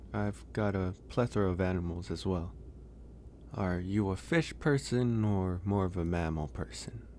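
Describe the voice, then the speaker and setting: A man playing a gentle, caring werewolf in an intimate ASMR roleplay, speaking softly and hesitantly with pauses. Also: deep voice, a little hiss or hum. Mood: casual, friendly. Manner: soft, hesitant, pausing. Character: gentle, caring young male werewolf, shy and teasing. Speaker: audio roleplay voice actor. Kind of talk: ASMR roleplay, intimate one-sided dialogue.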